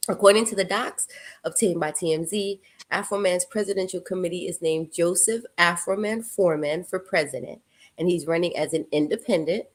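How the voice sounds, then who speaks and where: Playful New York woman co-host, lowish voice. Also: a little fast. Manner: laughing, playful, reads news items aloud. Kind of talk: casual morning show banter and news reading.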